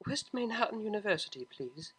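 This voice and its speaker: A woman's voice: medium pitch, very animated, a little fast, a bit bright, slightly rough, pitched above the performer's own voice, poor audio. A woman voicing a young, refined pony mare, a defensive daughter who pleads emphatically and gets flustered. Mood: subdued, polite.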